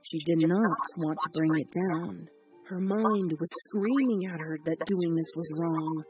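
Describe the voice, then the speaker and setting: A narrator reading a grim fan story aloud in a steady, even storytelling voice of middle pitch. Loud music plays underneath throughout. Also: measured pace.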